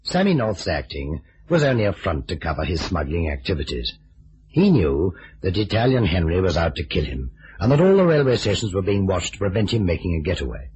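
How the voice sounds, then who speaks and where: An actor playing a theatrical gentleman companion who explains the case in an old-time radio drama. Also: deep voice. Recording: dull, lo-fi vintage radio recording.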